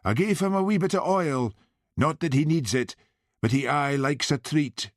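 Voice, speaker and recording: A man's voice: on the high side, moderate pace, no room sound, voice pushed up, lightly acted. A man voicing an eccentric, matter-of-fact Scottish truck driver with a broad accent. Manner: dry, matter-of-fact, odd.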